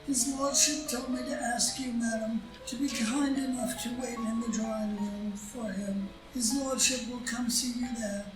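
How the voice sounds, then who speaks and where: A woman playing a formal, discreet male butler in an audio drama reading, speaking in a polite, precise, measured way. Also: on the high side, fairly even intonation, lightly acted. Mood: formal, deferential. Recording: echoey room with clear music.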